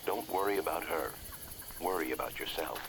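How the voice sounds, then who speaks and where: Game mission handler, deep voice, dry and businesslike, loud noise in the back. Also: male voice, normal speed. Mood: dry, instructive. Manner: businesslike, dry, urgent instructions. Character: brisk, dry male mission handler guiding by radio. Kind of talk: video game mission briefing.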